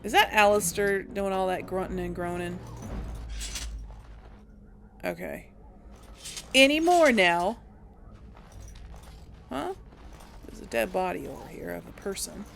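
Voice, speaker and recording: A woman's voice: medium pitch, slow pace, vocal fry, long pauses, noisy background. A young woman casually commentating as she plays a video game, chatty and amused, thinking aloud. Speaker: let's play host.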